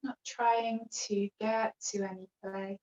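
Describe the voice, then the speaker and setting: Slow-speaking woman guiding meditation. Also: medium pitch, level tone, echoey room. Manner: gentle, unhurried phrasing with long pauses. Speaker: yoga teacher leading a guided relaxation class. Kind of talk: guided meditation instruction. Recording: dull, lo-fi video call sound.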